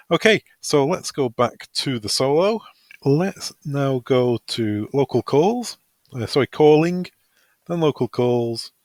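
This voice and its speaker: A man's voice: mid-range voice, dry recording. A man presenting a step-by-step technical walkthrough in an informal, practical, instructional way. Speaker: product tutorial presenter. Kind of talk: step-by-step software walkthrough, semi-improvised.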